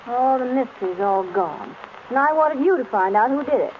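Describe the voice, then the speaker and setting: A detective's curious wife in a vintage radio drama. She is high-pitched, teasing and full of questions, heard on a tinny, narrow old recording with faint noise. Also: lively intonation, moderate pace. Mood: curious, playful. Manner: bantering, lightly teasing, inquisitive. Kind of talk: 1930s-40s radio drama acting.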